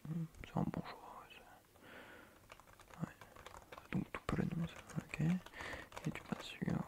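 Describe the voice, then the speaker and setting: A man acts out a quirky male schoolteacher in an ASMR roleplay. He speaks in a hushed, breathy half-whisper with very heavy creak, and his pitch moves around freely. He mutters, hesitates and repeats himself, mock-strict but quiet with his pupils. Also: exaggerated voice. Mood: quiet, attentive. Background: computer keyboard typing.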